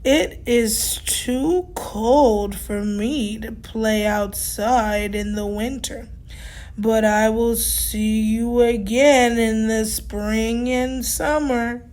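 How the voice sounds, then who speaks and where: A woman voicing a kindly old tortoise in a children's storybook, with slow, drawn-out words. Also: a little high, steady tone, darkish tone, pitched below the performer's own voice, low-quality recording.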